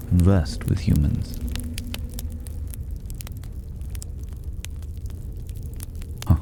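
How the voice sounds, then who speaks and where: An extremely deep, unhurried male voice acted out by a man plays a mysterious stranger in a fantasy ASMR roleplay. He is courteous and pensive, with soft, formal, old-fashioned phrasing that edges toward a whisper. Noticeable background noise runs underneath. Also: heavily acted. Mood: courteous, curious.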